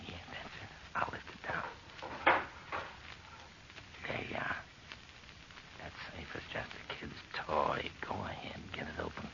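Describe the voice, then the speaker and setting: A man's voice: very deep voice, level tone, a little slow, vocal fry, long pauses, heavily acted. An actor playing a brash, callous burglar who bullies and wheedles in slangy tough-guy talk in an old radio horror drama. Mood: hushed, impatient. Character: brash, callous male burglar, pushy and scornful. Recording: muffled, noisy old radio recording.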